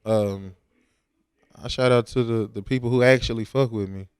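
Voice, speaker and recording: A man's voice: medium pitch, steady tone, moderate pace, darkish tone, smooth, no room sound, clean studio sound. A young man, talking casually and slangily as a rapper in an interview. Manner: informal, slangy.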